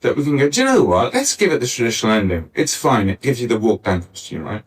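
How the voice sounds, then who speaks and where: A man's voice: medium pitch, sing-song, quick pace, breathy edge. A man speaking informally on a discussion panel, rambling into tangents. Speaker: panel speaker, pantomime scriptwriter. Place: arts centre venue, live panel. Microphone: distant backup recorder. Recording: distant mic backup live recording.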